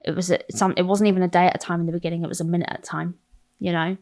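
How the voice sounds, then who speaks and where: A woman in her thirties with an English accent, a guest on a podcast who tells stories about her life in an animated, swearing, self-mocking way. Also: on the low side, racing. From South London. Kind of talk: candid interview chat.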